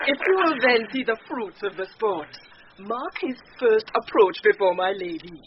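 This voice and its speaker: An actress playing a mischievous, quick-witted maidservant in a theatrical Shakespearean radio comedy, relishing her words conspiratorially. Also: fairly high pitch, animated, close to an everyday voice. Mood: gleeful scheming. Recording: muffled radio broadcast, faint noise.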